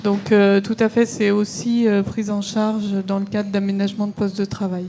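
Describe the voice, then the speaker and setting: A woman's voice: medium pitch, flat delivery, moderate pace, mellow, slight background noise. A woman panelist answering audience questions at a conference, explaining carefully with many hesitations and restarts.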